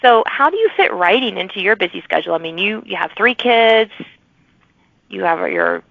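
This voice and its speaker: A woman's voice: medium pitch, big pitch swings, normal speed, vocal fry. Chatty woman podcast host, dull audio. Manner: chatty, rambling with many restarts. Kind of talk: casual interview chat.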